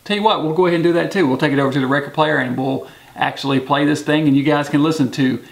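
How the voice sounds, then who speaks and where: Gravelly casual male vlog host. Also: fairly high pitch, fast talker. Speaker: host showing memorabilia. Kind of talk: casual show-and-tell vlog. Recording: echoey room.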